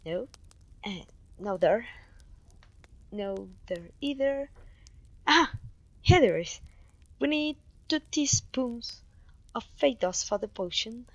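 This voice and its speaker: A clumsy, kindly witch played by a woman mentors her apprentice in an ASMR fantasy roleplay. Her voice is on the high side and recorded close and dry, with polite, faintly old-fashioned phrasing and an apologetic, gentle manner. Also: dry recording. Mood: flustered, searching.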